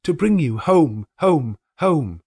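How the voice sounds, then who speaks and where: A man's voice: fairly high pitch, animated, slow, warm tone, husky, pitched above the performer's own voice, heavily acted. A man voicing an eager little girl, bubbling with joy. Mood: gleeful.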